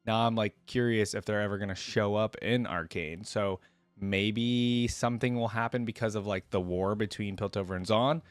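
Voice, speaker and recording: Low-voiced man hosting, dry close sound. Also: fairly even intonation, moderate pace, smooth, dry recording, faint music behind. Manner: conversational, curious, thinking aloud. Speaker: reaction video host. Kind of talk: casual reaction commentary mixed with reading lore aloud.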